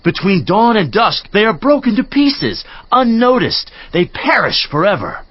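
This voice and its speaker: A male actor plays a stern, admonishing counselor of ancient scripture. His husky voice rises and falls widely as he preaches with rhetorical emphasis. It is a theatrical dramatized reading, recorded slightly dull. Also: sits high, sing-song, a little slow, no echo, full character voice. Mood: grave warning. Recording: slightly muffled audio.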